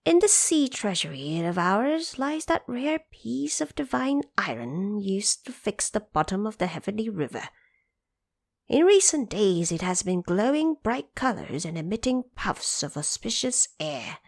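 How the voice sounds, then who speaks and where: A woman's voice: medium pitch, sing-song, unhurried, vocal fry, darkish tone, exaggerated voice. A woman voicing a shrewd, practical dragon queen of the sea, persuasive and matter-of-fact.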